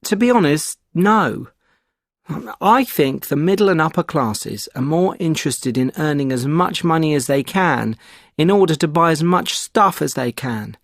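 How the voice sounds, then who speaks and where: Measured man giving exam answers. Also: a little high, animated, no room sound, studio quality. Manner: measured, opinionated, with thoughtful hesitations. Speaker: sample exam candidate. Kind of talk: scripted exam answer reading.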